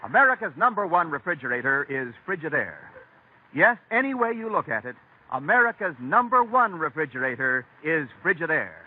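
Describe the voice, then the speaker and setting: A tinny, narrowband vintage radio recording carries a man announcing in 1940s style. His high voice swings up and down with lively emphasis as he pitches for the sponsor. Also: a little fast, a little hiss or hum.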